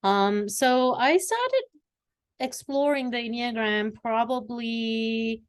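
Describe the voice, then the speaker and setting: A woman's voice: fairly high pitch, lively intonation, slow. A woman in her forties, originally from Sri Lanka, telling her story as an interview guest with a reflective manner. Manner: reflective, warm storytelling with fillers. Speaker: guest, executive coach. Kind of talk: conversational interview.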